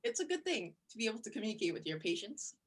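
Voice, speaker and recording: A woman's voice: mid-range voice, big pitch swings, rapid-fire. A young woman from Korea, a surgical resident, chatting casually in an interview and filling her answers with "you know" and "like". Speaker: plastic surgery resident.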